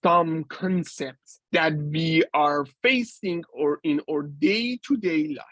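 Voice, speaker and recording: A man's voice: fairly high pitch, fairly bright tone, no echo. A man in his forties giving a university guest lecture, explaining ideas step by step with frequent restarts and fillers. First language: Persian. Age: 40s. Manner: halting, with many restarts and fillers.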